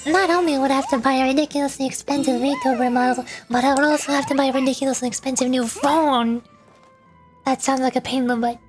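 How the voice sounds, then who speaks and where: A woman with a very high voice streams as a VTuber, with music playing behind her. She chats casually to her viewers, rambling and repeating words, with playful little exclamations. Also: fairly even intonation, quick pace. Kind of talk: casual livestream chat with viewers. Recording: background music.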